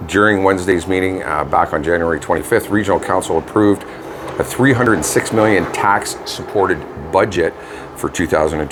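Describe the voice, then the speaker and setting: Low-voiced, laid-back Canadian man. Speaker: co-host of a local online radio vlog. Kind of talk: casual chat with local news reading. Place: home studio. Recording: noisy home studio with background ambience.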